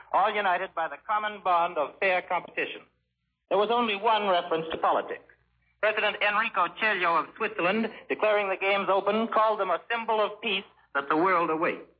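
A man giving a formal scripted 1940s radio news report on a dull old recording. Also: sits high. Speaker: foreign news correspondent.

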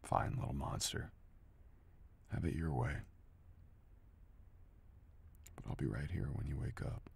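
A man's voice: very deep voice, animated, moderate pace, vocal fry, a little mellow, husky, heavily acted. A man playing someone who confronts a friend and then confesses his love, in an intimate audio roleplay addressed to the listener. He drops into murmured whispers, then raises his voice sharply, and swears often. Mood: teasing.

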